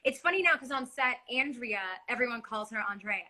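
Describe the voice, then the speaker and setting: A woman with a bright, sharp-edged voice pitched a little high. She hosts a casual live chat, eagerly affirming and encouraging her guest. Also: animated, brisk. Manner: warmly affirming, eager listener. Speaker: actress, live-stream host.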